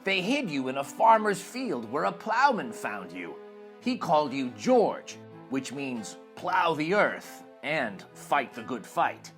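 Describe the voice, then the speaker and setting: A man voicing a wise old hermit in a storybook, speaking in a solemn, measured manner. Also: on the high side, big pitch swings, a bit bright, faint music behind, exaggerated voice. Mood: solemn, gentle. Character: old hermit, wise solemn male mentor revealing a destiny.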